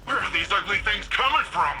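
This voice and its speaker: A man voicing a gruff, battle-hardened city defender in a sci-fi video game, gravelly and brisk, heard over a radio. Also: sits high, animated, music in the background. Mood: brisk, combative.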